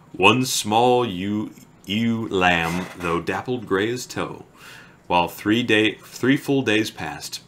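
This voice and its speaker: Male streamer, low and bright-edged voice, halting casual chat at a desk microphone. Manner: halting, trails off into quiet mumbles. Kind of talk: slow, deliberate poetry reading.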